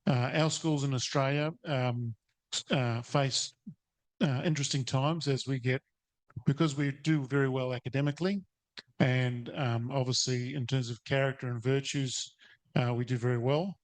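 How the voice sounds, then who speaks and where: A man's voice: medium pitch, fairly even intonation, normal speed. A man, a teacher asking a long, hesitant question at a conference, full of fillers and restarts. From New Zealand. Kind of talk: audience question at a seminar. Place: seated in a conference or webinar room.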